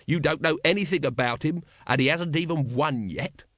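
A man's voice: fairly high pitch, normal speed, a bit bright, no room sound, clean studio sound, pitched above the performer's own voice. A man voicing a gloomy, exasperated city guard captain with an English accent in an audiobook. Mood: exasperated, angry.

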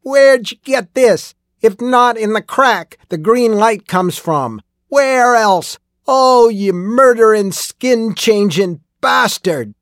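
Man acting a furious old miner, high-pitched and accusing. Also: expressive, slow pace, few pauses, no room sound, clean studio sound, exaggerated voice. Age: older. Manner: shouting accusations, emphatic. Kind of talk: audiobook character acting.

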